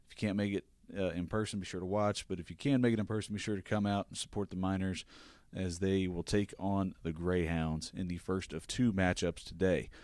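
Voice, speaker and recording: Low-voiced upbeat college sports host. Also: male voice, darkish tone, no echo. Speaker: podcast host. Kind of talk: sports recap and interview.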